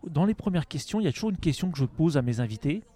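A man hosts an interview, asking his guest questions in a gentle, hesitant way. His voice sits slightly high and sounds mellow and warm. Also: lively intonation, very fast. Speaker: podcast host.

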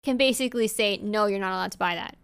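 A woman co-hosts a casual podcast chat, speaking English as a second language. Her voice is smooth and clear, recorded close and dry. She talks in an animated, rambling way, full of fillers. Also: medium pitch, fast, dry recording. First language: not English. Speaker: podcast co-host.

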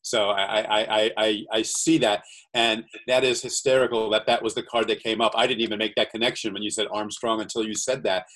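Husky-voiced American man on a call. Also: on the low side, level tone, a bit bright. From USA. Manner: earnest, reads cards slowly and deliberately. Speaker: guest entrepreneur. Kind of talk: casual video-call chat. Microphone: video call.

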